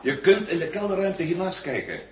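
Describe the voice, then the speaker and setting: A man plays a sharp-witted, commanding astronaut in a 1970s radio serial. His delivery is brisk and highly animated, swinging widely in pitch. A faint noise sits behind the recording. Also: close to an everyday voice. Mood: alert, excited. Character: determined, sharp-witted male hero astronaut, suspicious. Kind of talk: 1970s radio drama acting. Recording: faintly noisy 1970 radio play.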